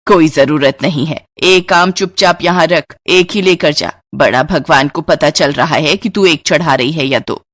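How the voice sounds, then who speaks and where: Bitter, tight-fisted mother-in-law for a moral tale, a woman acting: raspy-edged, dismissive, scolding, recorded very dry. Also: sits low, unhurried, hardly any pauses, dry recording. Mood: bossy. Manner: carping, sarcastic, dismissive. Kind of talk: moral story dialogue.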